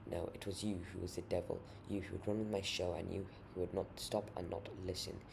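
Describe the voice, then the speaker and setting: Noisy recording, deep creaky tyrant voiced by a man, mocking, coaxing, theatrical storybook villain. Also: little pitch movement, a little fast. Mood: scornful. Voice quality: deep, booming, gravelly. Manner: theatrical, repetitive, coaxing menace. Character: menacing, mocking, unholy male tyrant, the suzerain. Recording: noisy amateur home recording.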